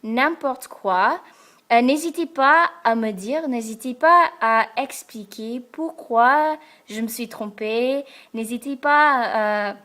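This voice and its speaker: A young American woman from Texas, a French learner speaking to the camera in a careful, hesitant way with many pauses and fillers. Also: on the high side, bright, forceful tone. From Texas, United States. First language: English. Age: young adult. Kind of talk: casual talk to camera. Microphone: camera in front of her.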